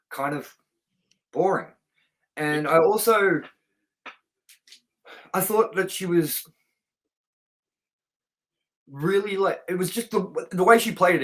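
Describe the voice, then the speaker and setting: A man co-hosting a film review show, chatting casually and enthusiastically with informal, sweary language. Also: on the high side.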